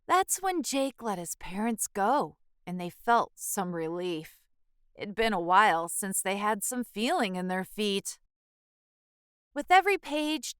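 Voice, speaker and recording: A woman reading a children's picture book aloud in a measured, expressive storytelling manner. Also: mid-range voice, smooth, no echo, studio quality. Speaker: children's book reader and channel host.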